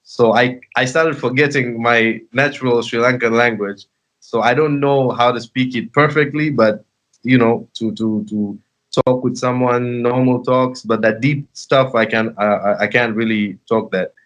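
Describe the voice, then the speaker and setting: He is a man in his twenties from Tanzania with a middle-of-the-range pitch. A native speaker of Sinhalese and Swahili, he talks casually in an interview as a bilingual rapper. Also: fairly even intonation, unhurried, a bit bright, dull audio. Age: 20s.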